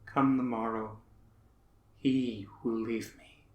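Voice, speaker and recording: Guy playing a tormented grieving poet, slow dramatic recital w long pauses, pretty echoey room. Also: medium pitch, fairly even intonation, natural voice. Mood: brooding. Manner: theatrical, declamatory, with long pauses. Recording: roomy, echoing space.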